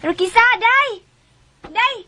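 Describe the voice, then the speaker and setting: An actress playing a boisterous, jolly neighbourhood vendor in a comic Bisaya radio drama, excitable and repeating her words, with a Cebuano accent. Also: extremely high pitch, slow pace, slight background noise, full character voice. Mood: excited. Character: boisterous, jolly older neighbourhood vendor woman, evasive about debts.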